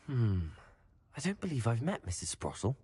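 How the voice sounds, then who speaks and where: An actor playing a polite, helpful young wizard student in a video game, composed in manner. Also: male voice, medium pitch, big pitch swings, normal speed, mellow, slightly rough, dry recording.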